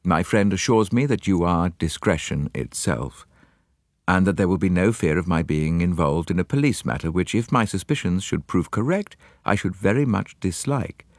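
A man's voice: low-pitched, animated, normal speed, no echo, clean studio sound, pitched below the performer's own voice. A man reading as a formal, cautious old lady. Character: anxious elderly woman writing a letter.

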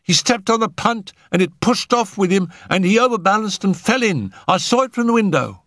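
An agitated young housemaid, as a male narrator plays her, pitched far above his usual voice. She is breathless and urgent, as in a dramatic storybook reading. The sound is close and dry. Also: expressive, moderate pace, fairly bright tone, no echo. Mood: agitated alarm.

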